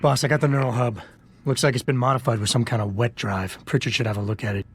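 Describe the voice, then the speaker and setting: An actor playing a brooding, guarded security officer in a video game, with a gravelly voice and a deadpan, clipped delivery. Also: male voice, medium pitch, quick pace, natural voice. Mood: terse, businesslike.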